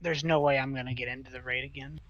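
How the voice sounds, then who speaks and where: A man's voice: mid-range voice, steady tone, fast, no echo, muffled recording. A blunt, exasperated man complaining in casual gaming chat. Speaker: raid member.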